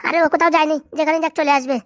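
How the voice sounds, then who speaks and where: A woman voicing a sharp-tongued grown daughter in a comedy cartoon, sarcastic and nagging. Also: high-pitched, steady tone, rapid-fire, dry recording, studio quality, close to an everyday voice. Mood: exasperated.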